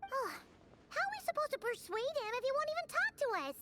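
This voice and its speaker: A woman voicing an eager, childlike little cat in a video game, squeaky and earnest. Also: very animated, brisk, fairly bright tone, slight background noise, exaggerated voice. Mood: worried. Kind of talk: animated video game voice acting.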